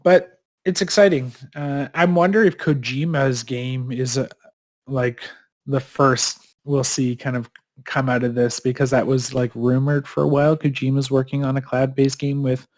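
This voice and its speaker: A man with a stuffed-up nose talks loosely as a podcast co-host, circling his point with fillers and restarts. His voice sits at an ordinary middle pitch for a man. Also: steady tone, normal speed, a little mellow. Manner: rambling, many fillers and restarts. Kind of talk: casual chat.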